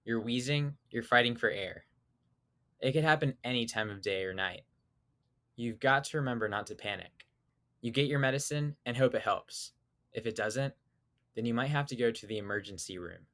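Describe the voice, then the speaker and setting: A teenage young man reads his own essay aloud with a steady, earnest delivery. His voice sits at an ordinary middle pitch, and he grew up speaking Spanish at home. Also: moderate pace. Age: teens. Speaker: student essayist. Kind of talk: scripted personal essay reading.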